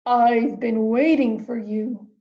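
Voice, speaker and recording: A wise female octopus from a picture book, voiced by a woman reader, drawing each word out with solemn calm. Her voice has a gravelly texture. The recording sounds dull and lo-fi. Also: fairly high pitch. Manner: slow, solemn, deliberate.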